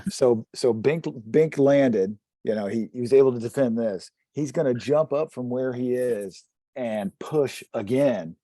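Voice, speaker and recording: A man's voice: mid-range voice, unhurried, warm tone, dry recording. A man playing a tabletop role-playing game, chatting casually and describing what his characters do.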